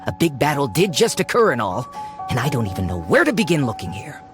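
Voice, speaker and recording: An animated, greedy treasure hunter. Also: male voice, mid-range voice, quick pace, music in the background. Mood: musing, eager. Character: greedy, eager treasure-seeking man feigning scholarly interest. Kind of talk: video game voice acting.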